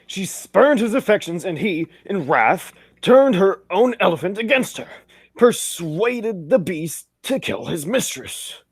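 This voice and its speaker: Radio-play sidekick: pompous, excitable old-school gentleman, highish, very animated delivery. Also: measured pace, slightly rough, dry recording, exaggerated voice. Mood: mystified, dramatic. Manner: pompous, exclamatory, affected old-time gentleman's diction. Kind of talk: 1940s radio play acting.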